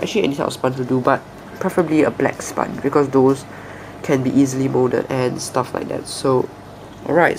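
Soft, croaky young man explaining a build. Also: fairly high pitch, background noise. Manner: soft-spoken, hesitant with restarts. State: croaky, soft voice after a flu. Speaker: aquarium hobby channel host. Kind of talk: casual tutorial narration. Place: indoors at a table.

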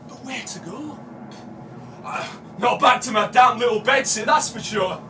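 An actor with a British accent playing a haunted, obsessive man confessing to a killing in a halting, repetitive live horror monologue that swings between hush and shouting. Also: very high voice, background noise. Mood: manic, gloating. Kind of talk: live dramatic monologue of horror audio drama. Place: small dim café stage before seated audience. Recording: distant live room mic, music behind.

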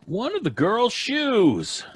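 A middle-aged man playing a gruff, determined small-town doctor in an old-time radio horror play, with dramatic pauses. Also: very high voice, wildly swooping pitch, dry recording, exaggerated voice. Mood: urgent, gruff. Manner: urgent, commanding, dramatic pauses.

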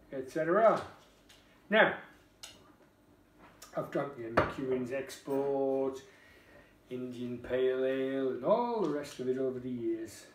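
A man's voice: medium pitch, a little hiss or hum. A middle-aged man with a Geordie accent, chatting casually and wryly at home as he reviews a beer, with the odd swear word. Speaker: beer vlogger. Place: home kitchen, indoors. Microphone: camera across the table. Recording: roomy kitchen, voice from a distance.

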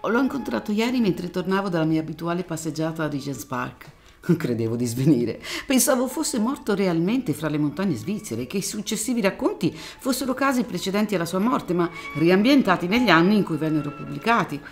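An actress voicing an elderly lady who once sang on stage, her voice sitting very low. She speaks in a formal, measured and gracious way over faint music in an audio drama. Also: sing-song. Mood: composed. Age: older. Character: elderly former stage singer, poised and gracious woman.

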